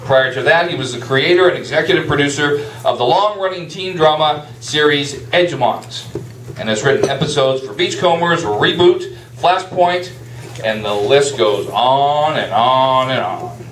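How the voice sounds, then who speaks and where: Animated male event host, roomy sound. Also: on the high side, normal speed. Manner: playful, jokey hype. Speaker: event host introducing a guest author. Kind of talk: live event introduction. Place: indoor venue in a restaurant. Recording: roomy live venue, distant mic, faint noise.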